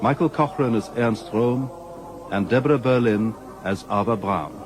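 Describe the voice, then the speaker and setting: A man giving a scripted radio drama announcement in a measured, formal manner. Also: medium pitch. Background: music.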